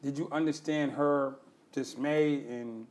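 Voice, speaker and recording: A man's voice: medium pitch, steady tone, takes it slowly. A man hosting an interview, asking probing questions and echoing the guest's answers back. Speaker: interview host. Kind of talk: conversational interview.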